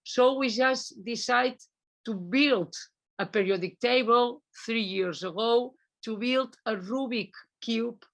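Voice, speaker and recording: A woman presents at a conference over a video call, speaking with a gravelly edge in her voice. She talks very slowly and hesitantly, pausing and restarting as she searches for her English words. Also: fairly high pitch. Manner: hesitant, many fillers and restarts. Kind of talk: unscripted presentation.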